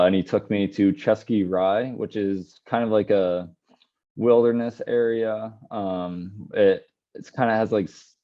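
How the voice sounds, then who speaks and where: A man's voice: low voice, muffled recording. A young man on a video call, a study abroad student answering casually with many fillers. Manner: relaxed, many fillers, laughs between phrases.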